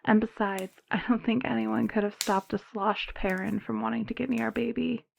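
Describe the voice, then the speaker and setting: A woman acting as a tender, protective motherly nurse in an audio roleplay, gentle and soothing, often dropping into whispers. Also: on the high side, steady tone, normal speed, creaky, a little hiss or hum, low-quality recording. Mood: defensive, hushed. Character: tender, protective motherly nurse, cooing over a foundling child.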